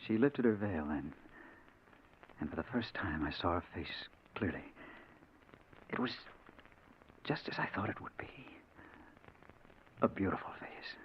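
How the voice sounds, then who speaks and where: A man's voice: fairly low pitch, close to an everyday voice. Male actor for obsessed American detective-narrator, haunted, old-time radio thriller delivery; dull, noisy vintage sound. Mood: entranced. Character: obsessed, haunted male investigator narrating his own story. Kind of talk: old-time radio mystery acting, hard-boiled first-person narration.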